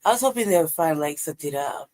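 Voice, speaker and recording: A man's voice: fairly high pitch, animated, quick pace, darkish tone. A man hosting a live stream, chatting loosely about the clips he is playing and often dropping to a near whisper. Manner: loose and rambling, drops to a near whisper at times. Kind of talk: casual commentary reacting to videos.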